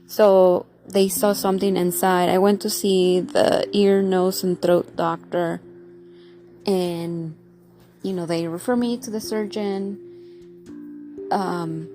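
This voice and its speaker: A woman's voice: mid-range voice, mellow. Chatty woman with a stuffy nose. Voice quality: congested, nasal sound. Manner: chatty, rambling with fillers and restarts. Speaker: vlogger. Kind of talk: casual talk to camera.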